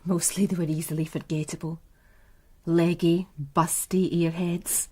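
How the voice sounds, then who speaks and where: A woman's voice: fairly low pitch, level tone, unhurried, darkish tone, husky. An actress playing a cool, calculating and seductive businesswoman in a radio crime drama, poised, guarded and knowing. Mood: disdainful. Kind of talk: naturalistic radio drama dialogue.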